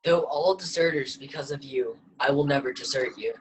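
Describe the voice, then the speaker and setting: A man's voice: medium pitch, moderate pace, slightly rough, lightly acted, rough recording. A man reading the part of the disciple Peter in a church reading, earnest and plain. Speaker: youth reader.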